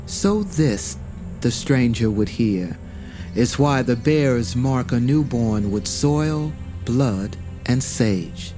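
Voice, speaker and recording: An actor playing a solemn storyteller of ancient sacred lore, speaking in a measured, reverent and dramatic way. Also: male voice, mid-range voice, animated. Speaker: storyteller narrator. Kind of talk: solemn scripted mythic narration. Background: prominent music.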